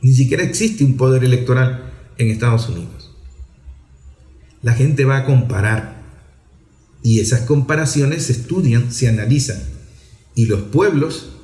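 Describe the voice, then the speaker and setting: A man's voice: medium pitch, warm tone. Emphatic Venezuelan man, echoey room. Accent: Venezuelan Spanish. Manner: emphatic, rhetorical, deliberate phrasing with pauses. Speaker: political commentator on an online program. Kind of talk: political commentary monologue.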